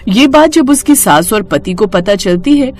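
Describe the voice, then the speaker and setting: A woman's voice: medium pitch, expressive, measured pace, darkish tone. A woman reading a scripted devotional story as its storyteller. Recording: music behind the voice. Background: background music.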